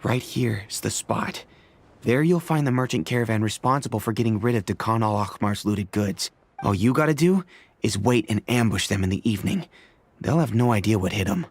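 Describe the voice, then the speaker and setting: A man voicing a gruff, easygoing male mercenary scout in a video game, with a husky voice. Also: fairly low pitch, expressive, normal speed. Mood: matter-of-fact, friendly.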